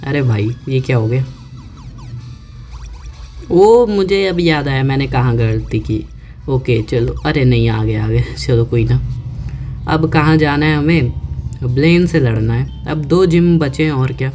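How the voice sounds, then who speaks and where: A man chats through his game, thinking out loud and reading prompts off the screen. His delivery is slow and relaxed at a middle pitch. Faint game music plays beneath him. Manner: chatty, talks to himself, reads on-screen text aloud slowly. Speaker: gaming YouTuber. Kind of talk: casual let's-play commentary.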